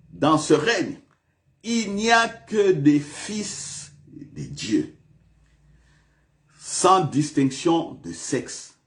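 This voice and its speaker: A male pastor preaching a sermon in a church, repeating questions to the congregation with big swings of emphasis. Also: fairly high pitch.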